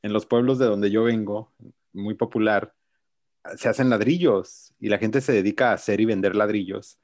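A man's voice: lowish voice, fairly even intonation, moderate pace, darkish tone, no echo, studio quality. A man hosting a podcast, chatting in a friendly, easygoing way with his guest. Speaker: podcast host. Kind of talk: casual interview chat.

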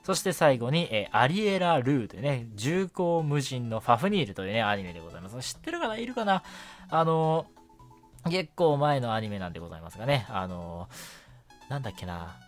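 A man's voice: mid-range voice, sing-song, brisk, faint music behind. A man hosting a night radio show, chatting casually and politely on his own, often punctuating his talk with short affirmations. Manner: chatty, polite presenter phrasing with frequent short self-affirmations. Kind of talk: casual solo radio talk about anime and manga.

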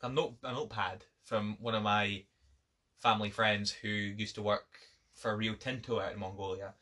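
A teenage Scottish boy with a bright, forceful voice, chatting and teasing his friends as a podcast co-host. He jokes around and stumbles over his words as he talks. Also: lowish voice, fairly even intonation, normal speed. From Scotland. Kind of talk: casual chat among friends.

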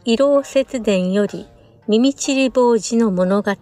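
A woman's voice: on the high side, slow pace, warm tone, faint music behind. A woman announcer reading scripted closing credits for a radio drama programme.

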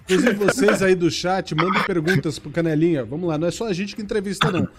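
Upbeat podcast host, slightly high voice. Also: male voice, quick pace, background noise. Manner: upbeat, addresses the chat audience. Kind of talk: casual podcast hosting.

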